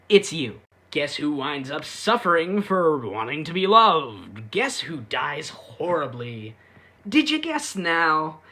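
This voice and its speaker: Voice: mid-range voice, fairly bright tone. A reviewer giving a casual, sarcastic recap with irreverent, sweary delivery. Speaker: YouTube anime reviewer.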